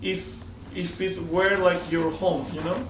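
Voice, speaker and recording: Peruvian man, high voice, echoey room. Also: little pitch movement, far from the mic, background noise. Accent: Peruvian Spanish. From Peru, Amazon region. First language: Spanish. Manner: halting, many restarts, laughs often. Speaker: flatmate renting out a room. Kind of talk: casual chat in broken English. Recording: echoey room, voice off-mic, faint ambience.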